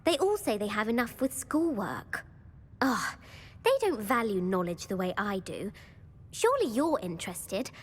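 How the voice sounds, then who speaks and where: A woman's voice: mid-range voice, very animated, a little slow, husky. A woman playing an eager, bookish schoolgirl quizmaster in a video game, precise and enthusiastic. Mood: eager, persuasive. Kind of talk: video game character acting.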